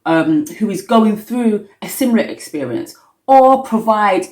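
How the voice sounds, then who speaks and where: A woman, a magazine editor, giving a measured spoken introduction with fillers. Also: mid-range voice, lively intonation, darkish tone. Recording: echoey room.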